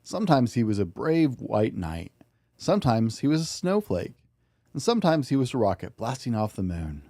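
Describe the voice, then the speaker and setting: Male storybook reader, dark soft tone, gentle expressive bedtime delivery, dry sound. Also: mid-range voice, normal speed, no room sound. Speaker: podcast host and storybook reader. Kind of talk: expressive read-aloud of a children's picture book.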